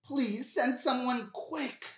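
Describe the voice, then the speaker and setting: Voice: high-pitched, slow pace. A performer voicing a panicked homeowner on an emergency call in a comedy sketch read. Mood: urgent, alarmed. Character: panicked female homeowner calling emergency services. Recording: roomy echo, indoor room.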